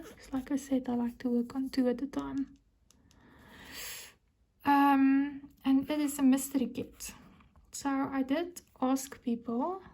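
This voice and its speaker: Soft-spoken South African woman, high and flat. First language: Afrikaans. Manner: chatty, often whispers while counting and working. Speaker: crafting vlogger. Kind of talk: casual chat while crafting.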